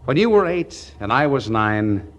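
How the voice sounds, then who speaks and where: An actor playing a brash, naive young small-town songwriter in 1940s radio comedy, eager, boastful and stammering, with a folksy way of talking. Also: male voice, medium pitch, sing-song, a little slow. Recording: noisy vintage 1940s radio broadcast.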